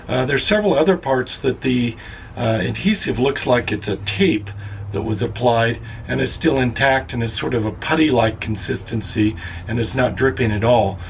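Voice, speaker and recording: Hoarse, raspy man with a gravelly voice. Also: mid-range voice, a little hiss or hum. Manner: unhurried, thinking aloud with fillers. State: hoarse, losing his voice. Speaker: vlogger. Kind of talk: casual vlog narration while working. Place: inside a step van.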